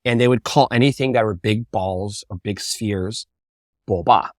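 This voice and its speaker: A man being interviewed, telling casual anecdotes and acting out quotes with animated energy. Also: fairly low pitch, normal speed, no echo, clean studio sound. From United States. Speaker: interview guest, bubble tea entrepreneur.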